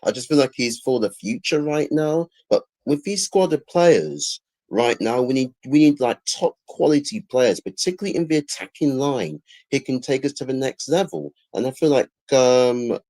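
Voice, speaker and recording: A man's voice: medium pitch, moderate pace, warm tone, no room sound. Male guest, mid-range voice, dry sound, emphatic talker. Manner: emphatic, repeats himself. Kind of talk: casual football chat.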